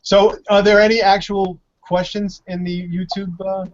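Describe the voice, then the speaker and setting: A man's voice: high voice, little pitch movement, a little slow. A man hosting a casual online group chat, agreeable and joking, with slightly dull audio and faint noise. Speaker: online show host.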